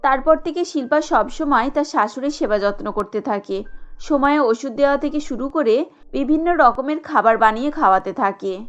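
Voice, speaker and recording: High-voiced woman narrating a cartoon story. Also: normal speed, highs cut off, faint music behind.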